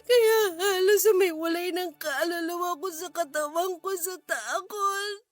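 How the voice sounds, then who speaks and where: Tearful, very high-pitched young Filipina. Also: female voice, unhurried, dry recording. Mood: tearful, afraid. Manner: tearful, pleading, self-blaming. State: crying. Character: distraught, ashamed young woman confessing abuse to her cousin. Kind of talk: Filipino radio drama acting. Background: background music.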